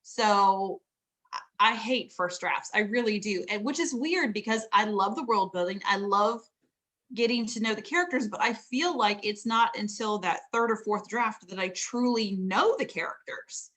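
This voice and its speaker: A middle-aged woman, a fantasy author, chatting casually about writing, laughing often and filling pauses with ums. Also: mid-range voice, a bit bright.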